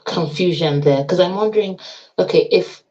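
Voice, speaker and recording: Low, mellow woman asking questions. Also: moderate pace. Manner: curious, asks questions and repeats words back. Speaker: co-host. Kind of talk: casual chat. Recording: dull lo-fi sound.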